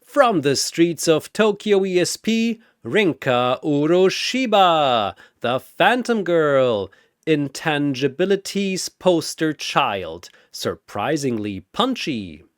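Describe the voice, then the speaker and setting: A man's voice: fairly high pitch, big pitch swings, slow pace, dry recording, clean studio sound. Man putting on a grandiose, swooping arena announcer god. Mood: booming, hyped. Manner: grandiose, theatrical. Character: flamboyant four-armed godlike arena announcer, sardonic showman. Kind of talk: ring-announcer fighter introductions.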